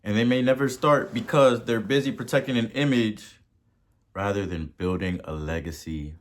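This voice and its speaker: A man with a Haitian accent hosting a podcast and giving a motivational talk, preachy, repeating words for emphasis and swelling to loud peaks. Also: lowish voice, moderate pace. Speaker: podcast host.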